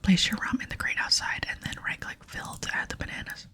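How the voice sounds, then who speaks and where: A woman hosting an ASMR gaming video, whispering chatty, gentle commentary to her viewers. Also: fairly quick. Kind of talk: whispered ASMR game commentary and quest reading. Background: soft music.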